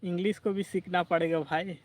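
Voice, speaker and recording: A man's voice: sits high, little pitch movement, normal speed, no room sound. A man hosting a casual livestream, chatting, reading out viewer comments and laughing often. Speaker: livestream host.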